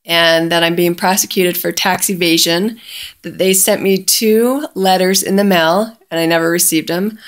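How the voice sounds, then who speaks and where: A woman casually telling a personal story in a chatty way, often quoting both sides of a conversation. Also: on the low side.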